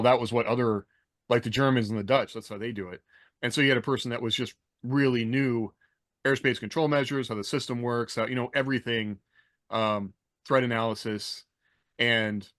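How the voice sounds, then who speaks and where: A man with a mid-pitched voice explains things informally on a podcast, in a dry recording. His delivery is halting, with frequent rephrasing. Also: fairly bright tone, no echo. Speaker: podcast panelist, military veteran. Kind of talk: casual explanatory chat.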